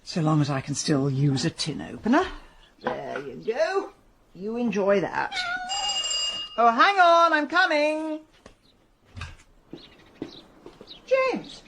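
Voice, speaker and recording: An actress playing a sharp-tongued, irritable middle-aged woman sleuth in a British radio drama, brisk and sardonic. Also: a little high, sing-song, gravelly, a crowd in the background, full character voice. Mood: flustered.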